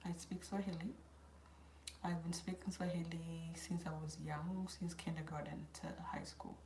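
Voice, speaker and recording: East african woman chatting casually on her stream, lowish mellow voice, roomy sound w/ a bit of noise. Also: level tone, moderate pace, creaky. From South Sudan, grew up in Kenya. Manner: informal, switches between English and Swahili. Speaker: host of a hair channel. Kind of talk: casual livestream chat answering viewer questions. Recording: roomy echo, faint background noise.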